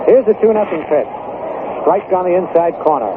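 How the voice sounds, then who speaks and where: A man's voice: high-pitched, lively intonation, moderate pace, narrow, tinny audio. A man calling baseball play-by-play in 1960s radio style, switching between clipped calls and conversational asides. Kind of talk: 1960s radio sports commentary. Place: outdoor ballpark broadcast booth. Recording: noisy, thin old AM radio broadcast.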